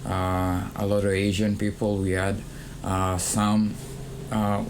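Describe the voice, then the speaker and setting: A young man from Kenya co-hosting a student podcast, speaking thoughtfully with frequent pauses and fillers. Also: low-pitched, monotone, noisy background. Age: young adult. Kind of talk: casual conversation.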